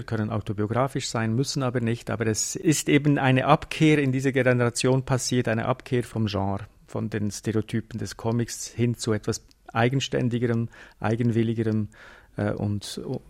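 A man giving an explanatory interview answer as an expert, measured and adding short clause after clause. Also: mid-range voice, fairly even intonation, a little mellow. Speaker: comics expert and lecturer.

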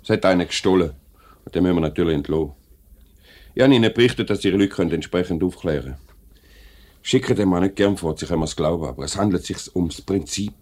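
Fair-minded middle-aged factory boss, very low voice, Swiss German, polite and deliberate, vintage radio play. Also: male voice, darkish tone. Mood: measured, firm. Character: measured, fair-minded male factory director. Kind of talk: 1950s Swiss radio drama acting.

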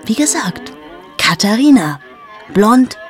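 An actress in her thirties playing a cynical, sharp-tongued journalist in a radio play monologue, speaking in sardonic, confiding asides to the listener. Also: on the high side, big pitch swings, takes it slowly, over music. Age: 30s. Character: cynical 38-year-old woman journalist, sharp-tongued, mocking.

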